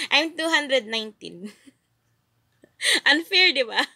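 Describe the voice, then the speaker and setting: A young woman with a Filipino accent narrates her gameplay live, playful and quick to giggle. Her voice is bright and sits a little high, and she talks in an unhurried way. The sound is dry and close, with no room around it. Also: creaky, dry recording. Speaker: gaming streamer. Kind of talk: live gameplay commentary and chat.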